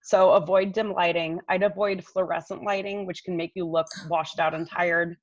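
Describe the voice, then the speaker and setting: A woman in her thirties speaks in a lowish voice, explaining practical tips conversationally, as a coach would. She is on a video call from home, and the sound is a little dull. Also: fairly even intonation, moderate pace. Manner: conversational, explanatory, addresses listener directly. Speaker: image coach giving expert tips. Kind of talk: informal instructional advice on a video call. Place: living room at home, indoors. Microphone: laptop microphone on a video call. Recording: slightly dull, roomy webcam audio.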